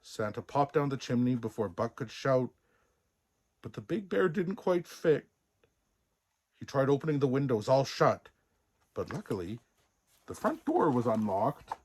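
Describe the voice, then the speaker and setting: A man narrating a children's story as a storybook reader, expressive, playful and theatrical, relishing the sound words. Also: medium pitch, unhurried, pauses often, husky. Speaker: storybook reader in a Santa persona.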